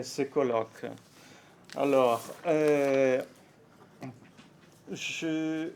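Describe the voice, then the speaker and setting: A Brazilian man with a Portuguese accent giving a conference talk in French, halting and careful, with frequent fillers and self-corrections. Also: mid-range voice, a little hiss or hum. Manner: nervous, warm, laughs lightly. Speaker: academic speaker at a conference.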